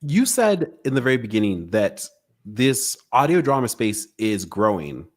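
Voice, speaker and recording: Low-voiced man hosting a casual interview. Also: sing-song, unhurried. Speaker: podcast host.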